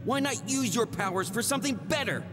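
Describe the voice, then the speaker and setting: A haughty, bitter monkey villain from a video game. The voice is very high and swings widely in pitch, full of theatrical sneering. It rings in long reverb. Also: male voice, lively intonation, a little fast, background noise. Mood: disdainful, weary. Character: arrogant, bitter villain monkey with mind-boosting helmet. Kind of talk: video game cutscene acting.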